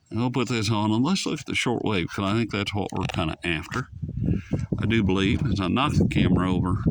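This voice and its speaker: A man's voice: on the low side, vocal fry, heavy background noise. A man casually reviewing a shortwave radio in a folksy, rambling way that drifts off topic. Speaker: radio reviewer, channel host. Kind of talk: casual, unscripted product review.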